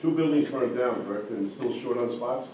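A man's voice: mid-range voice, a little fast, highs cut off, background noise. A man at a public meeting, firing off probing questions. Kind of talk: municipal meeting discussion. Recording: very echoey room, distant mic.

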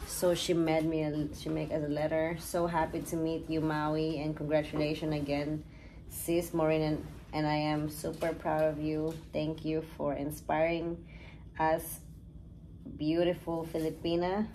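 A young woman chatting to her phone camera in a hotel room, gushing and tired, her voice trailing off softly at times. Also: low voice, level tone, measured pace. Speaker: vlogger. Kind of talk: casual travel vlog. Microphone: phone at arm's length. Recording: noisy phone recording.

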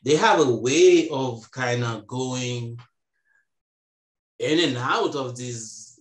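A man in his thirties on a video call from home, speaking slowly and reflectively with frequent pauses. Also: mid-range voice, echoey room, poor audio. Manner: slow and reflective, hesitant fillers. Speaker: panelist. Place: home room. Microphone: earbuds on video call.

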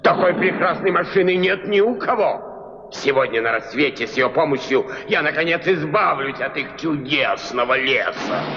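A man's voice: fairly high pitch, lively intonation, moderate pace, pushed and bright, a little hiss or hum. An actor voicing a cruel, gloating cactus king in a dubbed cartoon, theatrical and menacing with mock courtesy. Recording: muffled old film soundtrack, long reverb, music.

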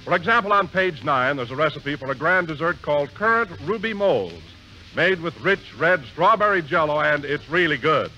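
A man reads a 1940s radio commercial with hearty, selling enthusiasm. His voice is bright and forceful, coming through a muffled old broadcast with faint music underneath. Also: on the high side, lively intonation, moderate pace. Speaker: announcer.

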